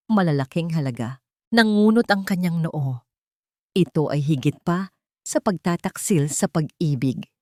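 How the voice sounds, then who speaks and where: A Filipino woman narrates a dramatic story in a fairly low voice. The sound is dry and close, with little room to it. Her delivery is that of a scripted audiobook drama. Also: a little mellow, no echo.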